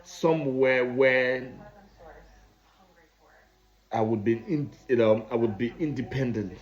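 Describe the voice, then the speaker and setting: A man in his thirties with a Liberian accent, telling his own story in a halting way, often repeating and restarting phrases. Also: medium pitch. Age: 30s. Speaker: vlogger. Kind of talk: personal storytelling. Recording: dull lo-fi recording.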